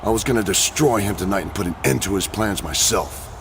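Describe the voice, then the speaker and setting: A man's voice: on the low side, lively intonation, brisk. A man playing a defiant wrestler in a scripted video game cutscene, insisting and ready to fight. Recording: noisy game audio with ambience.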